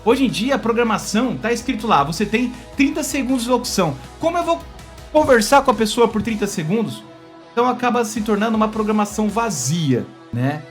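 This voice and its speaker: High-pitched Brazilian man over music. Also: very animated, fairly quick. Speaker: YouTube channel host. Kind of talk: casual opinion monologue to viewers.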